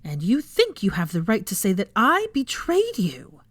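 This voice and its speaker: A woman's voice: medium pitch, wildly swooping pitch, moderate pace, dry recording, pitched above the performer's own voice. A woman voicing a furious young female professor, scolding a class sharply and indignantly in a storybook reading. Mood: scathing, stern. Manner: sharp, indignant, scathing lecturing. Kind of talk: dramatic fan fiction character reading.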